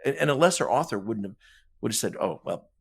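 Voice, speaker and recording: A man's voice: medium pitch, sing-song, fast, gravelly, crowd noise. A man speaking as a guest author on a podcast, discussing literature conversationally and emphatically, often checking in with "right?" and voicing imagined dialogue as he explains. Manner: emphatic, punctuates points with "right?".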